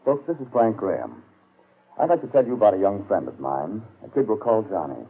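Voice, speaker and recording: Male announcer for vintage radio ad, low animated delivery, tinny narrow broadcast sound. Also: fairly quick, slightly rough. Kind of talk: scripted 1940s radio commercial narration.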